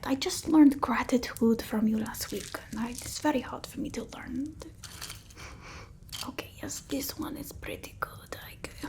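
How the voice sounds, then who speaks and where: A woman playing a bossy, fussy old-world vampire mistress in an ASMR roleplay, whispering slowly and breathily. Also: high voice. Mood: wounded. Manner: slow, hushed, haughty, fussing over the listener.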